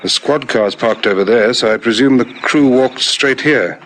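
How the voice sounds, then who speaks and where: A male actor as a curt, courteous police lieutenant from an old radio detective serial. He is methodical and authoritative, with clipped questions in a medium-pitched voice. The old recording carries faint noise. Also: normal speed, fairly bright tone, close to an everyday voice. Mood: brisk, businesslike. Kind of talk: vintage radio detective drama acting.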